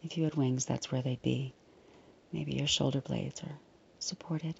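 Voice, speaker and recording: A woman leading a guided meditation in a soft, breathy, often near-whispered voice, soothing, unhurried and gently reassuring. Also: a bass voice, steady tone, muffled recording, slight background noise.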